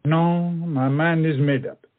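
A man's voice: on the high side, steady tone, slow, phone-quality sound. A man playing a weary, proud French gallant, formal and melancholy, in a period stage comedy. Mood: weary, resolved.

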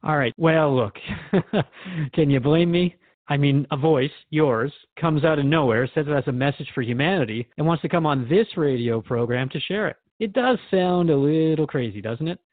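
A narrow, phone-like recording of a radio drama host, played by a man. He is male, with a slightly raised pitch and a conversational, hesitant delivery. He sounds curious and skeptical, restarting his sentences as he probes. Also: normal speed, no room sound, lightly acted. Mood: defensive, conversational. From Canada. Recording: thin phone-like band-limited audio.

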